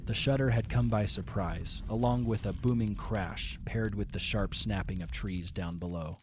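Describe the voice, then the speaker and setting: A man reading scripted story narration as the narrator of an audio drama, in a measured storytelling manner over music. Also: lowish voice, noisy background.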